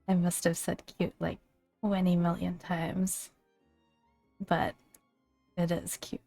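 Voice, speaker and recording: A woman speaking softly and gently in a breathy near-whisper, narrating a video game as ASMR. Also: on the low side, flat delivery, takes it slowly, no room sound. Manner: gentle, hushed delivery. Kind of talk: soft-spoken ASMR video game commentary. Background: soft background music.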